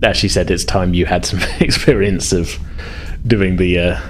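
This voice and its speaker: Low-voiced man running a tabletop game, noisy recording. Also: fairly even intonation, moderate pace. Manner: hesitant, thinking aloud. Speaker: game master. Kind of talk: casual tabletop game narration. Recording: clearly noisy background.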